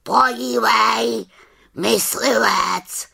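A woman voicing a wise, stern male raven in an audiobook, speaking slowly and scolding. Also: on the high side, creaky, bright, forceful tone, slightly rough, voice pushed up, full character voice. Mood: excited. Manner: slow, scolding and preachy. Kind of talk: audiobook character reading.

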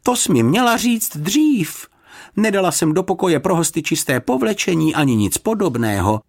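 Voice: mid-range voice, huge pitch swings, vocal fry, voice pushed up, exaggerated voice. A storybook reader voicing a stout, motherly older housekeeper who is brusque, fussy and exclamatory. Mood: startled. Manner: exclamatory, doubtful, scolding but kindly.

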